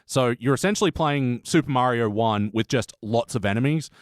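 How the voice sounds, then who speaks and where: A man's voice: medium pitch, moderate pace, dry recording. A man hosting a podcast in casual chat, talking informally and enthusiastically with plenty of swearing. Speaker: podcast host.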